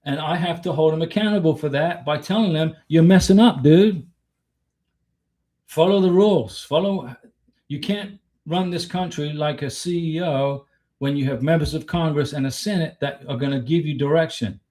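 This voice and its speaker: A man's voice: a little high, moderate pace, mellow. An American man from Florida hosting a casual livestream, talking in a blunt, emphatic, opinionated way with rhetorical questions and replies to chat. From Florida, United States. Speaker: livestream host.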